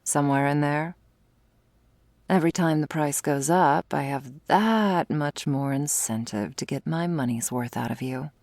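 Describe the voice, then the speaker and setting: A woman playing a sardonic, predatory vampire with a secret wistful side, in an intimate close-miked ASMR roleplay, wry and teasing, sometimes slipping into a breathy whisper. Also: sits low, unhurried, darkish tone, no room sound. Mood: possessive, menacing. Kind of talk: intimate ASMR roleplay, scripted monologue to listener. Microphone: close, dry studio mic.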